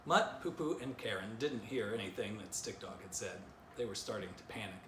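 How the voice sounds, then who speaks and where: A man's voice: on the low side, animated, normal speed, a bit bright. A middle-aged man, a children's author, reading a story and giving an informal drawing lesson. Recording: roomy echo, clear background noise.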